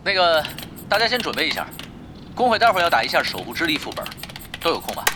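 A man's voice: a little high, very animated, quick pace, over music. A man playing a patient, organised online game guild leader, explaining things in an orderly way in an audio drama. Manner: instructive, orderly.

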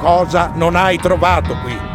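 A man's voice: a little high, unhurried, big-room sound, over music. A man with an Italian accent, asking questions and narrating a scripted radio theatre piece. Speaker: interviewer and narrator.

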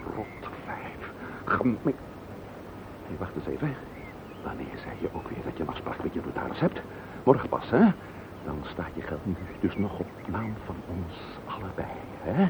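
Deep, gravelly, callous schemer. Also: male voice, sing-song, moderate pace, heavily acted. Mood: irritated, sly. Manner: cynical, blunt, cursing. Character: callous scheming wife-murderer confessing without remorse. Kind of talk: radio play acting. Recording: tinny, noisy old radio play recording.

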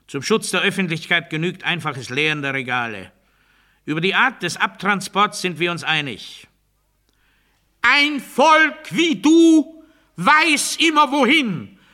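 Sharp-voiced, obsessive doctrinaire. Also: male voice, high-pitched, exaggerated voice. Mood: quiet, controlled confiding. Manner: deliberate, declamatory, swinging from hushed confiding to strident proclamation. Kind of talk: literary radio play monologue.

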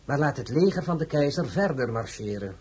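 An actor playing a courteous, insinuating gentleman of political intrigue in a period radio drama, formal and deferential, with a husky voice. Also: mid-range voice, expressive, brisk. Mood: suave, knowing.

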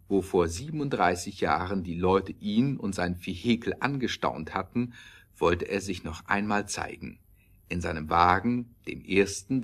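Male narrator, deep voice, measured storytelling with dramatic emphasis for a scripted audio play. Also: a bit bright.

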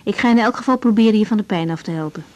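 A woman's voice: mid-range voice, rapid-fire, a little mellow, close to an everyday voice, low-quality recording. An actress playing a tired, caring young woman doctor in a naturalistic radio drama, plain and matter-of-fact. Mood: calm, reassuring. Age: young adult.

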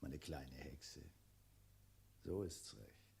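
A man's voice: a bass voice, very slow, vocal fry, a little mellow, slight background noise, full character voice. A male actor playing a bullying, leering dragon in human shape in a 1960s radio play, switching between barked orders and oily sweet-talk.

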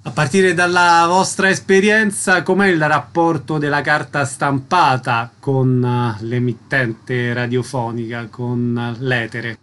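A man's voice: medium pitch, steady tone, slow pace, hardly any pauses, pushed and bright. A man hosting a radio interview, announcing events and putting questions to guests.

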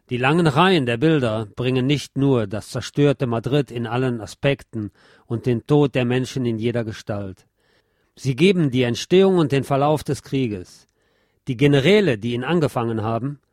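A man with a deep voice reading a scripted essay aloud in a measured, formal, literary manner. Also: a little mellow, no room sound, studio quality. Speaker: host reading essays on history.

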